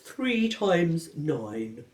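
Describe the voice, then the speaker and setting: Woman doing sarcastic headmaster guy, slow and drawn out, big pitch swings, roomy. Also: big pitch swings, darkish tone, exaggerated voice. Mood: scoffing. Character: stern scoffing school headmaster, man. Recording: roomy echo.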